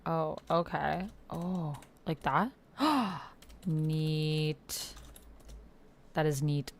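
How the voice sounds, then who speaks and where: A woman with a slightly low voice chats casually on a livestream. She is slangy and expressive, often thinking out loud as she reacts. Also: drawn-out words. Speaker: YouTuber livestreaming a text roleplay game. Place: indoors at a computer.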